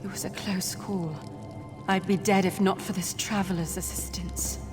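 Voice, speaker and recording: A woman's voice: medium pitch, level tone, moderate pace, natural voice. A woman playing a weary, worried injured villager who survived an attack, in a fantasy video game. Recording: long reverb, music behind the voice.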